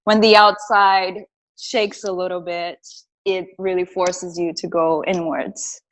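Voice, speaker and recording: A woman's voice: on the low side, level tone, slow, poor audio. A woman from Brazil with a Brazilian Portuguese accent, speaking as a podcast guest and earnestly recounting her personal journey. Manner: earnest and reflective. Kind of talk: casual interview, personal storytelling.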